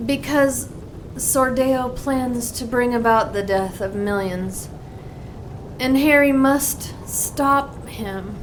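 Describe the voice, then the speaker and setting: Dead nun, played by a woman, high slow frightened voice, faint noise behind, audio drama. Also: crowd noise, low-quality recording. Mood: fading. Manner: frightened, fading. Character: dying nun raised from the dead.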